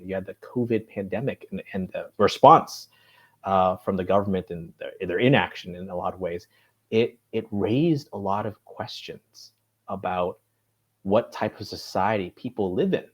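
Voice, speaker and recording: Husky low-voiced American guest. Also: male voice. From United States. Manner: steady, lecturing, rhetorical questions. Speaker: podcast guest. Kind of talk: earnest political discussion.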